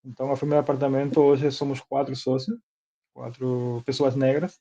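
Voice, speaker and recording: A man's voice: mid-range voice, fairly even intonation, normal speed, dark tone, highs cut off. A man from Cuba with a Cuban accent and Spanish as his first language, answering informally as an interview guest. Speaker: interview guest, film producer and director.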